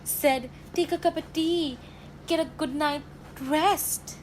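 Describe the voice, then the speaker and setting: A teenage girl plays a gossipy, sentimental factory girl in a radio play. She speaks high and slowly, with folksy dramatic phrasing and faint noise behind. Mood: hushed, earnest. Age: teenage high school student.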